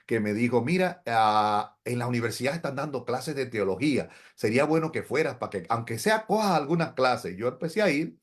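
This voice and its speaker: A man's voice: medium pitch, lively intonation, a little fast, few pauses. A middle-aged man, a pastor, telling his story in an interview in an earnest, reflective way. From Dominican Republic. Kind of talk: interview testimony.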